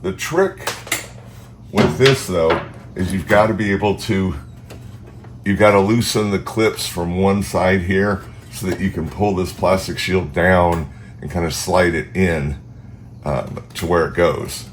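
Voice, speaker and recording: A man's voice: low-pitched, a little slow, background noise. A man with a stuffed-up nose from allergies, hosting a casual how-to vlog in his garage and thinking aloud with fillers. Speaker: car channel host. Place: home garage beside a car.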